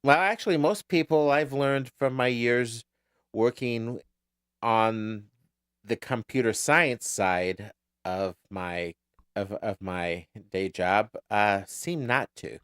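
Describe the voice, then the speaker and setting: A man's voice: medium pitch, takes it slowly, fairly bright tone, clear, resonant tone, no echo, clean studio sound. A man co-hosting a podcast, chatting casually through an episode recap, often repeating words as he starts a thought. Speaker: podcast co-host.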